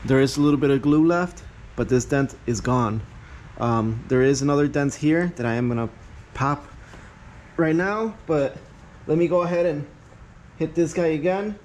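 A man's voice: a little high, moderate pace, long pauses, a little mellow, slight background noise. A man casually narrating a hands-on tool demo to viewers while working on a car in a garage, recorded on a head-mounted camera. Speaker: vlogger reviewing a tool. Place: garage with a car, door open to outdoors.

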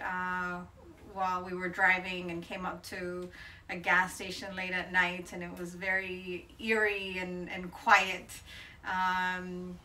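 A woman's voice: lowish voice, bright, forceful tone, recorded from a distance, slight background noise, poor audio. A woman in her forties from Colombia, answering interview questions thoughtfully with frequent hesitations. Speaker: interviewed photographer. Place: bright room by a window, indoors.

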